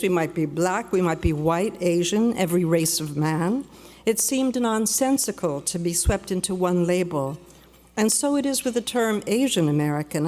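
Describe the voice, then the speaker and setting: A woman born in Peru giving a measured, reflective prepared speech at a luncheon, slowing down for emphasis. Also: medium pitch, darkish tone. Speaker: guest speaker. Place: banquet hall. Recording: long reverb of a large hall.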